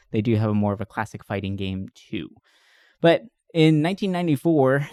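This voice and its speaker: A man's voice: mid-range voice, a little mellow, dry recording. Man, podcast co-host, enthusiastic, informal, casual review chat, dry recording.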